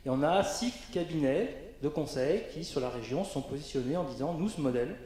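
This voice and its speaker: A man's voice: on the high side, expressive, fairly quick, dark tone. A man presenting on a conference panel, hesitant, with frequent fillers and restarts. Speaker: panel speaker. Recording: very echoey conference hall.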